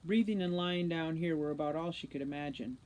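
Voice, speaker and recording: A woman reads a storybook aloud with expressive delivery. Her voice sits on the low side, and she tells the tale like someone reading to listeners. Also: a little fast. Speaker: audiobook reader, teacher.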